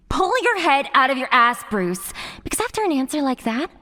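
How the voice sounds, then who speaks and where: A woman performs a cruel, mocking she-monster for a horror audio drama. Her high voice swoops theatrically and lingers over taunts at a slow pace, gloating over her prey. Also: a bit bright, exaggerated voice. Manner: mocking, foul-mouthed, gloating, theatrically drawn out. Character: sadistic, taunting female monster running a deadly music quiz.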